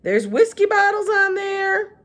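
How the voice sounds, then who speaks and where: Woman as a feisty little girl, high and lively. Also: unhurried, heavily acted. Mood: earnest, uncertain. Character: feisty, outspoken, earnest young Southern girl.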